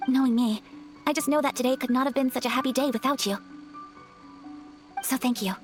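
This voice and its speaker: A woman voicing a gracious young noblewoman in a video game, speaking politely and gently with formal, earnest phrasing. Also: high-pitched, fairly even intonation, brisk, soft background music, lightly acted. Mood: wistful, grateful.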